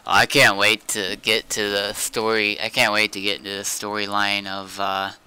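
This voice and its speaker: A man's voice: fairly low pitch, monotone, normal speed, bright, forceful tone, smooth, low-quality recording. A man chatting casually during a game in brief, laid-back replies. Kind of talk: casual chat during gameplay.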